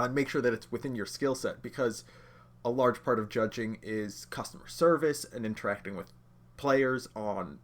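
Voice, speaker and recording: Slightly nasal man, under the weather. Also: mid-range voice. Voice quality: a bit nasal. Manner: relaxed and chatty, addresses viewers directly. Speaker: judge training instructor. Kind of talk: casual livestreamed lesson.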